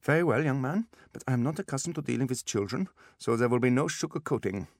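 A man voicing a sharp, impatient, haughty German doctor in an audiobook, with a German accent and a clipped, pompous, curt manner. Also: medium pitch, fast, gravelly, no room sound, clean studio sound, pitched above the performer's own voice. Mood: irritated, brisk.

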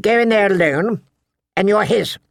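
A man's voice: high-pitched, normal speed, creaky, no echo, studio quality, pitched above the performer's own voice. Audiobook role, male reader: suspicious lord bannerman, raised voice, urgent, cautioning, grim. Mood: grim warning. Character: wary male lord bannerman warning his young leader.

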